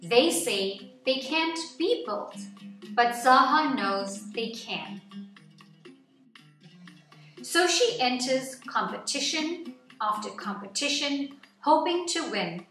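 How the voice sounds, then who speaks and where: A woman reading a nonfiction picture book aloud to children in an expressive, deliberate storytelling way, phrase by phrase. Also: high voice. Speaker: children's story-time reader. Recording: echoey room, distant mic, music underneath.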